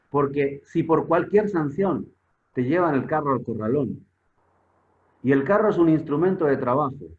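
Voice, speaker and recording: A man born in Spain speaking as a guest expert in an online forum, emphatic, with rhetorical questions and repeated words while he searches. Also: on the high side. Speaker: guest expert on public security. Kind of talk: conversational lecture in an online forum. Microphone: video call. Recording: tinny phone-like online call audio.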